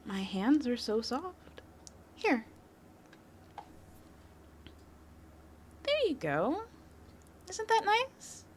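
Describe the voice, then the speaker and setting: A woman's voice: high-pitched, wildly swooping pitch, slow, a little hiss or hum, heavily acted. A woman playing a confident, flirtatious criminal suspect in an audio roleplay, teasing the listener with a coy, playful delivery. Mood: soothing, coaxing.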